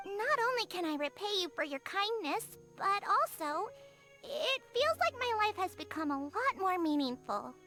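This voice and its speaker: A woman voicing a young, gentle female fairy-like creature in a video game, innocent, polite and earnest, with a soft childlike voice. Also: extremely high pitch, big pitch swings, moderate pace, exaggerated voice. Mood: grateful, earnest. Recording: music behind the voice.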